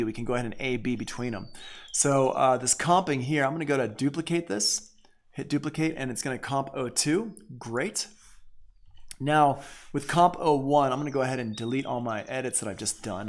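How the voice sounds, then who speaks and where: A man's voice: mid-range voice, gravelly. An American man with a mid-range voice teaches an audio production tool in a casual way. He thinks aloud as he demonstrates, talking informally and dropping short exclamations as each step is done.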